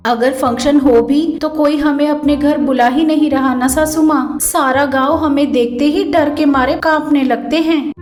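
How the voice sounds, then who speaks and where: A woman's voice: high-pitched, steady tone, a little slow, hardly any pauses, darkish tone, echoey room, soft background music. A woman voicing a cheeky, food-mad young daughter-in-law in an argumentative, whiny manner for a comic story.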